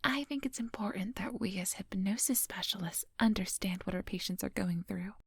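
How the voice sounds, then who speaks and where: A female vampire hypnotist, played by a woman murmurs in an extremely breathy whisper with a touch of creak. She takes her time, coaxing and quietly menacing. The ASMR roleplay sounds close and very dry. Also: medium pitch, no echo. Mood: smoothly professional. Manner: slow, coaxing, hypnotic instructions. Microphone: close microphone, dry.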